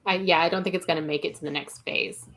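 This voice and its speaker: A woman's voice: lowish voice, steady tone, fast talker, a bit bright. Emphatic woman as a podcast guest. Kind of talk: casual fan discussion.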